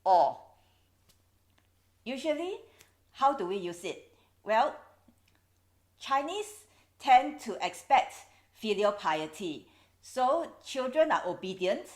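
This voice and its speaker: A woman's voice: a little high, big pitch swings, slow pace, long pauses. Singaporean woman, wry vlog host. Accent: Singaporean English. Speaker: video host. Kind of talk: explanatory vlog monologue. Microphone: camera's built-in mic.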